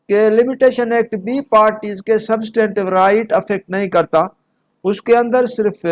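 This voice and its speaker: A man with a Pakistani accent giving an instructional law lecture in a methodical, explanatory way. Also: high-pitched. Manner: methodical, explanatory, switching between two languages. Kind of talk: instructional lecture mixing explanation with read-out notes.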